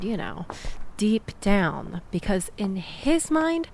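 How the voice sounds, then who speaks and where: Wry young woman acted, ambient noise behind. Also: mid-range voice. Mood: sarcastic, indignant. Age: young adult. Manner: wry, sarcastic, animated storytelling with sighs and laughs. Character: young woman venting sarcastically after a bad date. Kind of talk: intimate audio roleplay, conversational monologue to listener.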